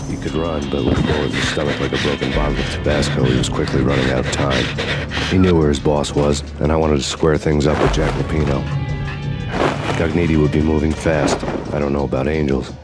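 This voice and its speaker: A deep, deadpan noir cop. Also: male voice, brisk. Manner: flat, weary, deadpan. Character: grim, weary male cop narrating. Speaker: narrator and protagonist, a cop. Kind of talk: hard-boiled noir narration. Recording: loud game music and noise behind.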